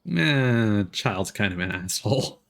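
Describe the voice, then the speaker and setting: A man casually commenting on a game live stream, thinking aloud in a halting way. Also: fairly low pitch, animated, gravelly.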